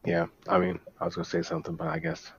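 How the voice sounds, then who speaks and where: Low-voiced man rambling casually, slightly dull sound. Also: sing-song, fast, creaky, husky. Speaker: podcast guest, tabletop game player. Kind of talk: casual chat.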